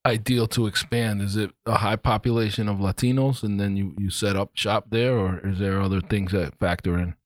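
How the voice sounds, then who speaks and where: A man's voice: fairly low pitch, steady tone, brisk, few pauses, no room sound. A man hosting a podcast, asking short, encouraging questions. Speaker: podcast host. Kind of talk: podcast interview.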